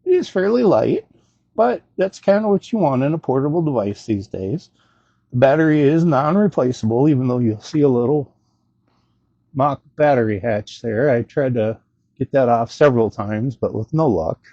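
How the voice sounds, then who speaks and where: A man's voice: mid-range voice, animated, normal speed, gravelly, warm tone, breathy edge, dry recording. A man casually talking through an unscripted gadget review as host, in a rambling, hesitant, self-deprecating way, on a slightly dull recording.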